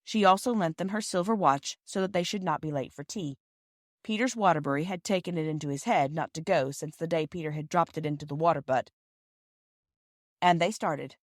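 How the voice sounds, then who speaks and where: A woman narrates a classic children's storybook in a fairly low voice. The recording is dry and close, with very little room sound. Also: fairly quick, no room sound. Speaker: audiobook reader and podcast host.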